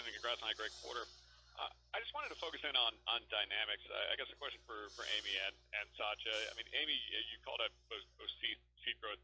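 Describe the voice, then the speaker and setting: A man with a very sharp, bright voice, slightly low, talks quickly as an analyst on a conference call. His question is wordy and halting. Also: rough recording. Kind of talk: spontaneous question on an earnings call. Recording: conference call with faint noise.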